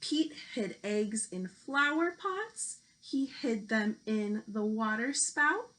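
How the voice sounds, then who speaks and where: A woman teacher reading a children's picture book aloud, speaking deliberately to young listeners. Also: fairly high pitch, animated, a bit bright, poor audio. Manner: slow, deliberate delivery addressed to young children. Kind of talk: children's storybook read-aloud.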